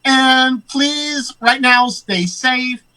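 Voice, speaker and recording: A host with a Long Island accent, chatting casually and affirming the guest often. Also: male voice, extremely high pitch, very slow, no echo. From United States. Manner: warm affirming, frequent mm-hmms. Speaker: podcast host. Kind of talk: casual chat.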